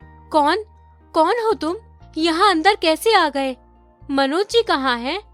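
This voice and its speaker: A distressed young bride from a horror cartoon, voiced by a woman. Her voice is high, shrill and dramatic, innocent and wronged, with music behind it. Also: expressive, slow pace, exaggerated voice. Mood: frightened. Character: frightened, outraged young bride, innocent and betrayed.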